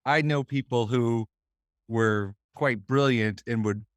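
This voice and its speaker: A man's voice: mid-range voice, slow pace, no room sound, clean studio sound. Rambling, thoughtful man from Boston. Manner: thoughtful, meandering, many restarts. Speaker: musician guest. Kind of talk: casual interview chat.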